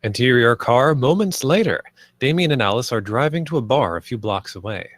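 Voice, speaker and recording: A man's voice: medium pitch, expressive, a little fast, no room sound, studio quality. A man reading out stage directions and scene headings as narrator at a film table read.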